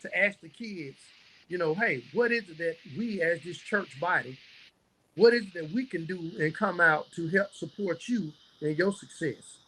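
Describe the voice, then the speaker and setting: A man's voice: sits high, animated, moderate pace, fairly bright tone, slight background noise, poor audio. An American man answering as a podcast guest in an earnest, conversational way, building his points in lists. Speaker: podcast guest, youth mentor and nonprofit founder.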